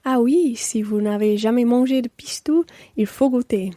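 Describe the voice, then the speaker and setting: A woman's voice: a little high, moderate pace, dark tone, no room sound, clean studio sound, close to an everyday voice. A woman playing a friendly, sociable French mother in a scripted language-learning radio play. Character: friendly French mother of three, part-time English teacher.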